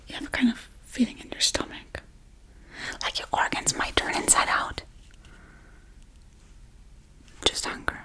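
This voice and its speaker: A woman playing a quirky, politely menacing Halloween witch in a breathy, soft-spoken ASMR role-play. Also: medium pitch. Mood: curious, chatty. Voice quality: breathy, mostly whispered. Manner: unhurried, chatty, politely menacing. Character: quirky Halloween witch casually preparing a human sacrifice.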